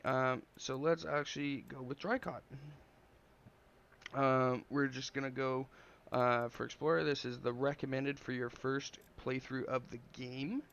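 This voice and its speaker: Man with a slight cold, smooth mid-pitched voice, rambling gameplay commentary, dull lo-fi audio. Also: unhurried. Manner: relaxed, rambling, many ums and uhs. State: has a slight cold, sniffling. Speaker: gameplay video creator.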